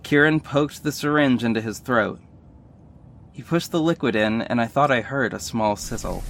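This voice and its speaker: A man's voice: mid-range voice, moderate pace, fairly bright tone, a little hiss or hum, close to an everyday voice. A man playing an earnest, emotional young superhero, telling his story in dramatic first-person audio drama narration. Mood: tense.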